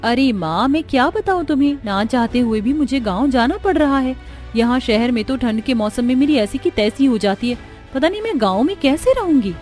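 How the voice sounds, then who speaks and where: A woman's voice: high voice, lively intonation, a little fast, darkish tone, faint music behind. A woman voicing an anxious, reluctant young daughter-in-law in a cartoon story. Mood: worried, reluctant. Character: anxious young daughter-in-law dreading cold village life.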